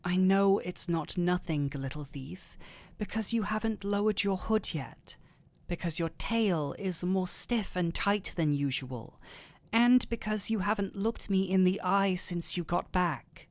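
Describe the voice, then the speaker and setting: A woman voicing a gentle, caring male doctor in an intimate fantasy audio roleplay, tender and softly questioning. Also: lowish voice, unhurried. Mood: gently worried.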